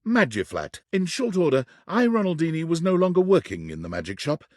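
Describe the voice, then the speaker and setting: A man playing the narrator of a video game, telling the story in a scripted, dramatic, deliberate storybook manner. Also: on the high side, wildly swooping pitch, darkish tone, no echo, studio quality. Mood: dramatic storytelling.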